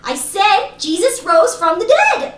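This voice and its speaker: A woman's voice: very high-pitched, big pitch swings, takes it slowly, far from the mic, full character voice. A woman playing a curt, secretive dame in a detective radio play, brusque and emphatic. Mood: insistent. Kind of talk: radio theater acting. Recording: noisy, roomy, off-mic.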